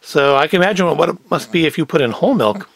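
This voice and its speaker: A man's voice: on the high side, expressive, fast, vocal fry, fairly bright tone, no room sound. A middle-aged man giving a casual, unscripted product review as host, with wry, sarcastic asides. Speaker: host of a food review show.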